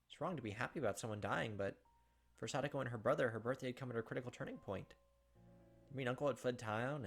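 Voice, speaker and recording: A man's voice: mid-range voice, fairly bright tone. A man reading visual novel text aloud in a theatrical, emotive way as a gaming video host. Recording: background music under the voice. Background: game music.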